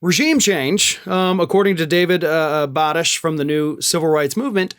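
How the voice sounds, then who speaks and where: A man's voice: on the high side, moderate pace, a bit bright, smooth. A man hosting a political commentary show, speaking in an emphatic, opinionated way with a sarcastic edge. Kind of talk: opinionated news commentary to camera.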